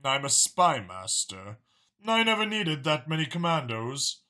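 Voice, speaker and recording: Man voicing a calculating dragon spymaster, lively and bright. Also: fairly high pitch, unhurried, full character voice. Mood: grave, explanatory. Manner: articulate, formal, matter-of-fact about killing. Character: calculating, mild-mannered male dragon spymaster, ruthless in war. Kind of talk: audiobook character dialogue.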